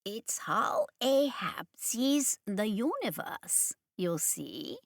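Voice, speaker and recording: A woman's voice: a little high, huge pitch swings, slow pace, gravelly, a bit bright, dry recording, clean studio sound, pitched above the performer's own voice, exaggerated voice. A woman voicing a nervous, hysterical male scientist who talks in a fussy, formal way. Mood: hushed. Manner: fussy, formal, giggling, jittery.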